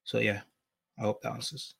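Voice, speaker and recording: A man's voice: on the low side, fairly even intonation, normal speed, creaky, fairly bright tone, breathy edge. A man in a casual group discussion, explaining his views reflectively and often checking in with "right?". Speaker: panel guest.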